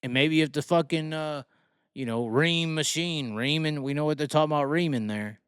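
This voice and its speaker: Brash, clear-voiced man on a rant. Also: a little high, moderate pace, dry recording, clean studio sound. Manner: brash, heavily profane, emphatic; repeats phrases for emphasis. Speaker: dirt racing commentator. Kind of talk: opinionated solo rant commentary.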